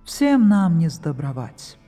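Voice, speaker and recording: A woman's voice: low voice, big pitch swings, dark tone, in a large hall, full character voice. A woman voicing a grave old lord in a storybook tale, admonishing and ominous in manner. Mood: grave warning. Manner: slow, ominous warning. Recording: music behind the voice.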